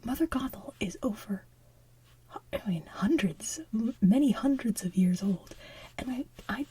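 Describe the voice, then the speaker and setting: A woman's voice: on the high side, expressive, measured pace, mellow. A woman whispering as an ASMR host, rambling casually and enthusiastically like a devoted fan. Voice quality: breathy whisper. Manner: rambling, gushing fan talk.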